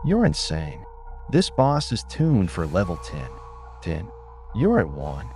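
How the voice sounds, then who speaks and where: A man voicing a sharp-tongued, mocking companion who talks in a player's ear in a game audiobook, snappy and taunting. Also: sits low, huge pitch swings, slow, faint music behind, pitched below the performer's own voice, full character voice. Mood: sharp, alarmed.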